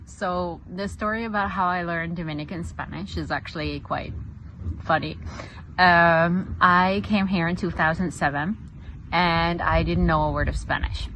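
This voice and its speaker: A woman, a real estate agent, telling a personal story in a relaxed way with frequent fillers. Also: fairly low pitch, a little slow, a little hiss or hum, low-quality recording. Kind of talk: casual storytelling.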